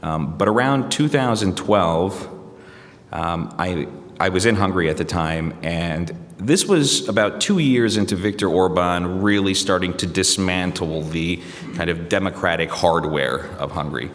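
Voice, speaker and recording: A man's voice: low voice, a little slow, fairly bright tone, big-room sound. A man from the United States, a journalist guest, answering interview questions in a reflective, hesitant way with many fillers and restarts.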